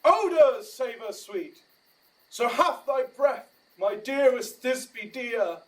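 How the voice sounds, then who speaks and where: A pompous, bumbling comic rustic, very high for a male voice and widely swooping. He declaims slowly in a Shakespearean radio play, a little away from the microphone. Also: expressive, recorded from a distance, full character voice, low-quality recording. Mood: grandly declaiming. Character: bumbling, self-important male amateur actor, comic rustic. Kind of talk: Shakespearean audio drama acting.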